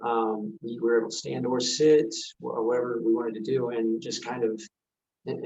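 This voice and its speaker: Halting man in a roomy, dull recording. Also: medium pitch, a little mellow, slight background noise. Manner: halting, hesitant. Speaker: actor. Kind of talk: casual Q&A discussion. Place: echoey room on a video call. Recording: roomy, dull video-call audio.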